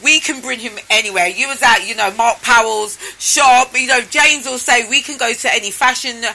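A woman hosting a casual interview, lively and chatty, often laughing as she talks. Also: a little high, normal speed, gravelly, low-quality recording. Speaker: host.

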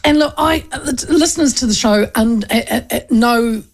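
A woman's voice: on the high side, moderate pace. A woman hosting a casual chat show, bubbly and talkative, laughing often and restarting her sentences. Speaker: radio and online show host. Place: small studio.

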